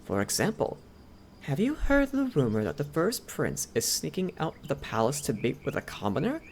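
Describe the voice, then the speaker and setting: Woman playing a low-voiced, devoted, formal male butler. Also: huge pitch swings, normal speed, darkish tone, a little hiss or hum, full character voice. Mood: earnest, loyal. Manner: polite, deferential, formal servant speech. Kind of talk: ASMR roleplay, scripted character acting.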